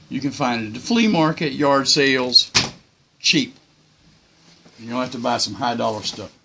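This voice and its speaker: Older man, bright voice, folksy and rambling. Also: mid-range voice. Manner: folksy, plain-spoken, rambling. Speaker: hobbyist video host. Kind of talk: casual unscripted tips talk.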